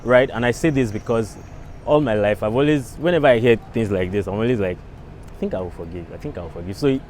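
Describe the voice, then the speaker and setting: Lowish-voiced man, street noise. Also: quick pace, a little mellow. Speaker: street interviewee. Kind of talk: reflective casual chat. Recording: faint outdoor traffic noise.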